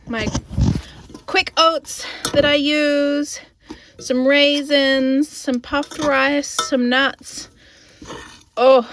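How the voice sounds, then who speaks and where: Casual vlogger woman, slightly high voice, nasal and congested, chatty and thinking out loud. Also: slight background noise. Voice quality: nasal, stuffed up. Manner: chatty, savoring, thinking aloud. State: congested with a cold. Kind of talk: casual vlog chat.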